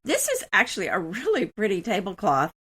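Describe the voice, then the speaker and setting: A woman's voice: mid-range voice, wildly swooping pitch, fairly quick, a bit bright, slightly rough. A woman co-hosting a casual home decor chat, describing finds in a friendly, conversational way. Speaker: co-host.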